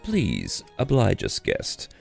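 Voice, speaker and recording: Man doing a dry mock-formal tavern keeper, gravelly, pitch swings a lot. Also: huge pitch swings, slow pace, soft background music, heavily acted. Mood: sardonic. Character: sardonic male afterlife tavern keeper, Aerith's husband.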